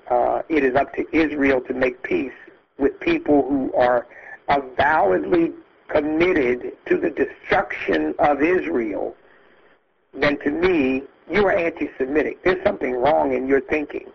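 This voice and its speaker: A man speaking as host of a conference call, giving an earnest, emphatic political speech with halting repetitions and a gravelly, husky voice. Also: a little high. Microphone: phone conference line. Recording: muffled lo-fi conference call audio.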